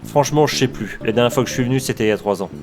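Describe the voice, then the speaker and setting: A man's voice: medium pitch, racing, over music, natural voice. A man playing a stubborn, optimistic road-trip leader in a comedic audio drama. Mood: casual. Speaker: fiction podcast character. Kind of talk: comedic audio fiction dialogue.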